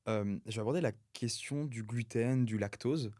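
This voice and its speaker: A man's voice: mid-range voice, moderate pace, warm tone, no echo, studio quality. A young man hosting a podcast, asking hesitant questions and repeating words as he goes. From family of Italian origin. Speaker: podcast host. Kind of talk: casual podcast interview.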